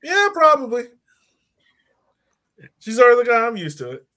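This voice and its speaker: A man's voice: very high-pitched, big pitch swings, moderate pace, pushed and bright. A young man chatting casually and jokingly on a sports podcast, with informal slangy phrasing, from home on a video call. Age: young adult. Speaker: podcast host.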